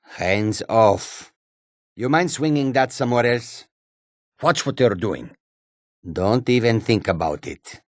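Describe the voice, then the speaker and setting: A man voicing a wary, combative male cat-folk character from a fantasy video game, with a gravelly, raspy voice and exotic, formal phrasing. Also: fairly low pitch, expressive, takes it slowly, no room sound, studio quality. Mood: warning.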